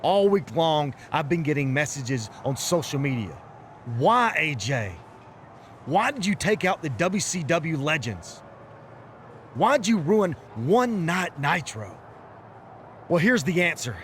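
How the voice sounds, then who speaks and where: A man playing a proud wrestler with a grudge in a video game promo, husky and pointed. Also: a little high, sing-song, slow, fairly bright tone, a little hiss or hum, heavily acted. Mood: bitter, defiant. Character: proud, resentful male pro wrestler with a grudge.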